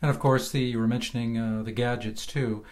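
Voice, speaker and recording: A male podcast host speaks at a middle pitch as he interviews a guest. His phrasing is halting, feeling its way toward each question. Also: fairly even intonation, a little mellow.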